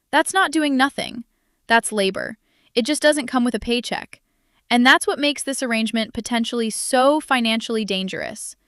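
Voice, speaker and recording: A woman's voice: fairly high pitch, a bit bright, dry recording, clean studio sound. A woman giving direct-to-camera social commentary in an emphatic, sassy way, firing off rhetorical questions and building lists. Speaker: commentary host.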